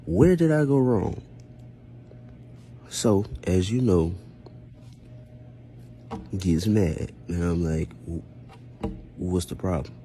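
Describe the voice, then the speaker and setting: A man's voice: sits low, slow pace, long pauses, dark tone, slight background noise. A man telling a casual first-person story in an aggrieved, emphatic manner, throwing out rhetorical questions and acting out exchanges. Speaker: storyteller recounting own experience.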